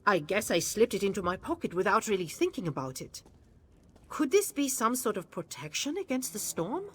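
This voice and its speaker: An actress playing an exhausted, loyal court mage in a fantasy video game, earnest and dramatic. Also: female voice, mid-range voice, very animated, normal speed, a little hiss or hum, natural voice. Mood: puzzled, thoughtful. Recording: faint music behind the voice.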